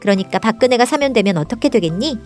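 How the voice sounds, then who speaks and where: A woman's voice: fairly high pitch, lively intonation, quick pace, over music, lightly acted. A woman playing an indignant young storybook princess in a political satire, scolding and explaining with pointed rhetorical questions. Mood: emphatic explaining. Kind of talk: scripted political satire dialogue, storybook parody.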